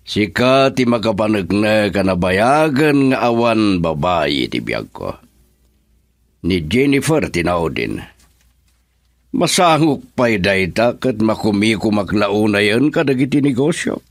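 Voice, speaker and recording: A man's voice: on the low side, lively intonation, measured pace, no room sound, studio quality. An actor in an Ilocano radio drama playing a guarded businessman husband who denies everything, with a Filipino accent. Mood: guarded, irritated.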